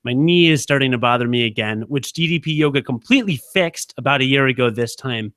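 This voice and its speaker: A man in his thirties co-hosting a podcast, chatting casually in a quick, halting way full of fillers. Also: medium pitch, lively intonation, fairly bright tone, no echo, clean studio sound. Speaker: podcast co-host. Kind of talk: casual chat.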